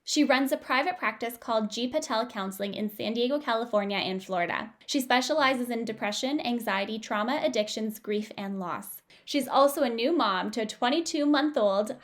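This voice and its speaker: A woman's voice: fairly high pitch, normal speed, hardly any pauses. A young woman hosting a podcast, speaking with upbeat enthusiasm and laughing often. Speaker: podcast host. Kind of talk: podcast promo and interview.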